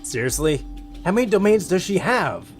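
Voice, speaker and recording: Man as proud young king of the gods: exasperated, imperious, animated delivery, music behind. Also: high-pitched, normal speed. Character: proud, irritable young male god-king. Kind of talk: audio drama acting.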